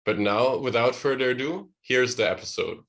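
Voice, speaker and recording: A man introducing and hosting an interview series, speaking in a measured, friendly way with some fillers. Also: medium pitch, lively intonation, fairly bright tone.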